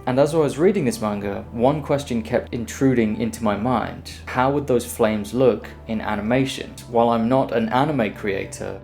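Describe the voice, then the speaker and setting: Guy doing an animation process voiceover, lowish voice, explains stuff step by step, faint music under. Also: gravelly, darkish tone. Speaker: animator, channel host. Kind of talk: scripted video voice-over with process commentary.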